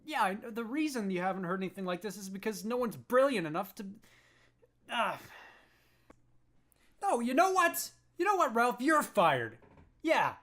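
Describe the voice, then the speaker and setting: A woman's voice: a little high, very animated, normal speed, long pauses, fairly bright tone. A woman on the phone, defending her show in a flustered, defensive way.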